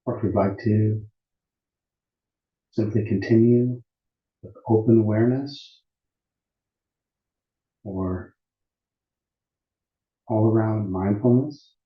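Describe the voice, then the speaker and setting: A man teaching meditation at a retreat in a calm, deliberate, unhurried way with long pauses between phrases. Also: fairly low pitch. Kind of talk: guided meditation instruction at a retreat. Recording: muffled, roomy echoing sound.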